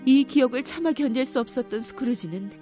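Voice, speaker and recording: Gentle, slightly high female narrator for a kids' story, over music. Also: fast.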